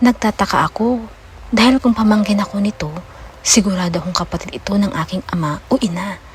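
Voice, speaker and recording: A woman's voice: mid-range voice, fairly quick, a little hiss or hum, lightly acted. A woman voicing a determined, hopeful young eldest daughter in an audiobook, earnest and reflective. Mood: uneasy, puzzled. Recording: music behind the voice.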